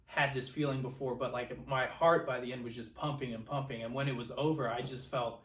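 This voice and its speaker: A man's voice: medium pitch, steady tone, brisk. Echoey, distant young man, earnest podcaster. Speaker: film podcast co-host. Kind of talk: casual film discussion. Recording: roomy echo, distant from microphone.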